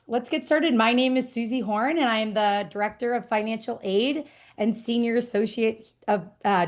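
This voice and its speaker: Higher-voiced woman moderating a webinar. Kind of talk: welcoming introductions, semi-scripted.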